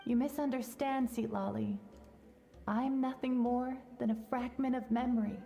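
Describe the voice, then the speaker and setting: A calm, wise spirit of a warrior woman from a video game. She speaks gently and measuredly in a fairly high voice, over clear music. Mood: calm, explanatory. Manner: measured, explanatory. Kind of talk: English video game voice acting.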